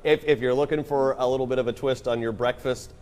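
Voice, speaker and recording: A man's voice: mid-range voice, steady tone, fast talker, darkish tone. A man in his forties hosting a playful home cooking segment in his kitchen, chatty with joking asides, filmed by a counter camera. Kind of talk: upbeat home cooking demo. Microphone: wide camera on the counter.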